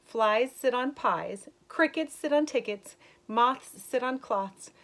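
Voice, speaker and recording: A woman voicing a thoughtful cat in a storybook, slowly reciting a long list of rhymes. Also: a little high, a bit bright, voice pushed up. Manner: slow, measured recitation.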